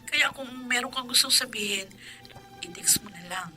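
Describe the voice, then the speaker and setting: An actress doing a loving mother working abroad, with a bright, sharp tone and a soothing manner. Soft music plays beneath her, and she sounds self-sacrificing and warm to her family. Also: fairly high pitch, normal speed. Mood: tender. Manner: soothing, reassuring. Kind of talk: radio drama acting.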